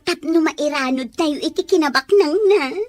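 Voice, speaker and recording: Woman playing a high-voiced teasing girlfriend. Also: normal speed, no echo, close to an everyday voice. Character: teasing, giggly young woman, gossiping friend. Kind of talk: Ilocano audio drama acting.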